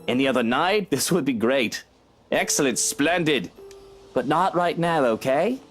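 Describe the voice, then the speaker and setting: A man's voice: medium pitch, expressive, a little slow, faint music behind, natural voice. A man playing a charming, placating husband in a visual novel game, coaxing and playfully gallant. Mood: coaxing, evasive. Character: charming, placating British husband, sweet-talking and evasive.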